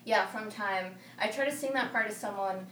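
A woman's voice: medium pitch, normal speed. A woman whose first language is Spanish, chatting casually as a singer being interviewed. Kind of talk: casual conversational chat. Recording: echoey, noisy room, distant mic.

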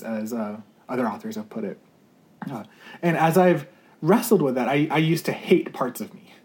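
A young man with an ordinary middle-pitched voice talks thoughtfully about his life. He circles back and restarts often, choosing his words with care. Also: a little mellow. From United States. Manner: earnest, searching, many fillers and restarts. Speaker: campus ministry leader. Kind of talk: reflective interview answers.

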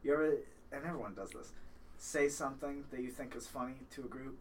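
A man's voice: medium pitch, fast talker, slight background noise. A young man hosting a casual podcast, curious and profane, jumping in with questions. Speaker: podcast host.

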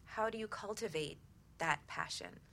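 A woman's voice: mid-range voice, normal speed, creaky, sharp tone, a little hiss or hum. A woman hosting a radio show, talking conversationally as she interviews a guest about relationships.

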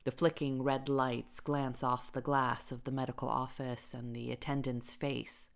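A woman reading a short story as literary audiobook narration, in a measured, deliberate way. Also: extremely low pitch, little pitch movement, gravelly. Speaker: story reader.

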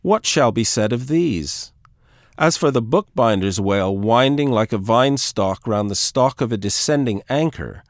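A man reading a literary audiobook aloud in a measured, articulate, formal manner. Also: lowish voice, dry recording, clean studio sound. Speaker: audiobook reader. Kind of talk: scripted literary narration, read aloud.

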